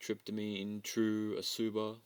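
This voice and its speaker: A man delivering a dramatic spiritual monologue in solemn, deliberate short declarative phrases. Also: lowish voice, monotone, smooth, no room sound.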